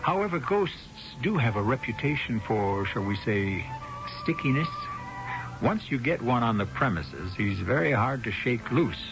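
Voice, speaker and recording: A man hosting a radio drama with theatrical scripted narration, wry, measured and formal. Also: mid-range voice, sing-song, over music. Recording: dull vintage radio recording.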